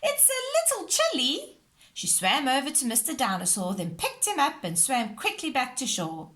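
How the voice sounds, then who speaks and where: A woman's voice: on the high side, very animated, moderate pace, fairly bright tone. A woman reading a scripted children's story aloud as narrator. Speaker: storybook reader.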